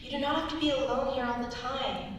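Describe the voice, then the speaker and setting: A woman's voice: fairly even intonation, brisk, natural voice. A young woman acting admiring, playful women of ancient Athens in a live dramatic script reading. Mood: eager. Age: young adult. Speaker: student actor. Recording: very echoey hall, distant mic, rumble.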